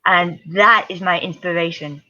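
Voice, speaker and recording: A middle-aged woman, a guest athlete, telling lively anecdotes in a casual interview and acting out the voices she quotes. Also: lowish voice, normal speed, highs cut off. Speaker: guest ultra-distance triathlete.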